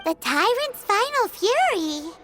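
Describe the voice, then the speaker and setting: Very squeaky tiny fairy companion. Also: female voice, very animated, slow pace, music in the background, heavily acted. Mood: startled. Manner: squeaky, speaks of self in third person. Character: tiny childlike floating fairy companion, chirpy and excitable. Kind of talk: anime-style video game voice acting.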